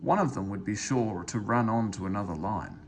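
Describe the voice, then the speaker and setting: A man reading a children's story aloud in an expressive, animated way. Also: lowish voice, normal speed, vocal fry, a little hiss or hum. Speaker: storybook reader.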